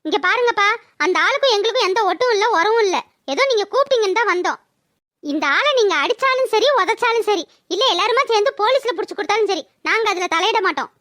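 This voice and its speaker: A curt, fed-up village wife in a cartoon, speaks quickly in a tiny, extremely high, bright voice. She is blunt and insistent. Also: lively intonation, no echo, clean studio sound, heavily acted. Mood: fed-up, firm. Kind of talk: cartoon village drama voice-over.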